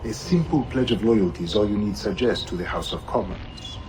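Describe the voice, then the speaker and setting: An actor playing a scheming, commanding governor in a video game cutscene, measured and persuasive. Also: male voice, on the low side, expressive, lightly acted. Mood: calculating, persuasive. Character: scheming, commanding male Templar governor, politically calculating. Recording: clear background noise.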